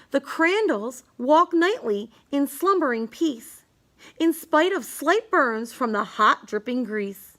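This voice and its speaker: A woman's voice: sits high, a bit bright. A woman reading a rhyming children's book aloud in an emphatic, sing-song storytelling manner.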